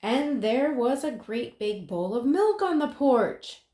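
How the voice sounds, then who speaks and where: A woman reading a children's picture book aloud in an expressive way, with dramatic pauses. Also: a little high. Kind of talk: children's story read-aloud. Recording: roomy, echoing sound.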